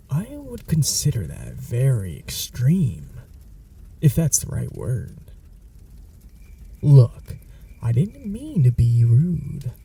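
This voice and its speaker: A man voicing a smug, teasing male vampire in an ASMR audio roleplay, talking in a soft half-whisper that slides into mockery. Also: medium pitch, creaky, husky, distant mic, full character voice.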